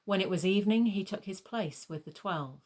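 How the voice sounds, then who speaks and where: A woman's voice: mid-range voice, normal speed, darkish tone. A woman narrating a gospel passage as a scripted scripture reading.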